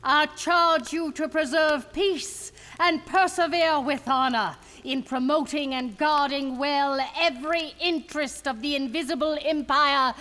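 Woman playing a slow, high-voiced, commanding cult priestess. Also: fairly even intonation, pushed and bright. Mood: solemn, commanding. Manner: solemn, incantatory, declamatory. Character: cold, commanding female cult leader presiding over sacrifice. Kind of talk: ceremonial radio drama acting.

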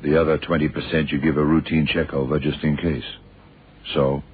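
Casting: cool, laconic radio-play detective, extremely low male voice, dull vintage sound. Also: little pitch movement, moderate pace, slightly rough, full character voice. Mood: cool, probing. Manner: terse, laid-back questioning. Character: calm, shrewd male blind detective. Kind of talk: 1970s radio crime drama acting. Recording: muffled old radio recording, faint hiss.

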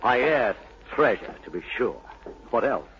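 An actor playing the haunted, brooding son of a mad sea captain in a dramatic, insinuating 1940s radio style. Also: male voice, medium pitch, very animated, measured pace. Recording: muffled, hissy 1940s radio broadcast.

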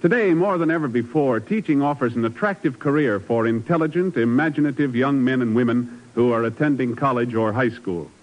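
A man's voice: mid-range voice, brisk, few pauses. A man delivering scripted announcements and narration as a 1950s radio announcer, formal and earnest. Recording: dull vintage radio recording.

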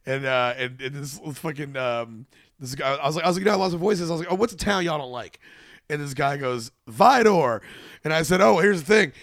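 A man's voice: on the high side, brisk, a bit bright, no echo, studio quality. A man hosting a casual podcast, rambling with lots of restarts and swearing. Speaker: podcast host.

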